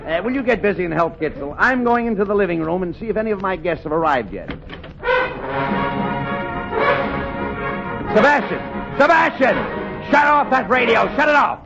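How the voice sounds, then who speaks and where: A man's voice: high-pitched, lively intonation, quick pace, vocal fry, over music. A man playing a flustered, exasperated uncle in a 1940s radio comedy, stammering and pleading as he gives orders. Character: flustered, exasperated uncle hosting a formal dinner. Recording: muffled noisy 1940s radio, studio audience.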